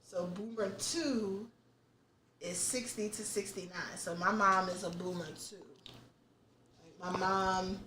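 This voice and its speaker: A young woman hosts a laid-back podcast, thinking aloud and swearing freely. She sits a little away from the mic in a room with noticeable echo, her pitch sitting in an ordinary middle range. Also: fairly bright tone, distant mic. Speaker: podcast host. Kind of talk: casual chat.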